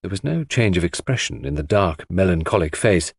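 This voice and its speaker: A man narrates an audiobook in a dramatic, scripted style. His voice sits a little low and moves expressively up and down, recorded dry and close. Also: sing-song, fairly quick, creaky, husky, dry recording.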